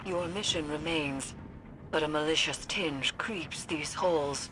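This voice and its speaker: An actor voicing a watchful machine companion in a video game, calm, precise and matter-of-fact. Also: male voice, on the high side, slow pace, over music, rough recording.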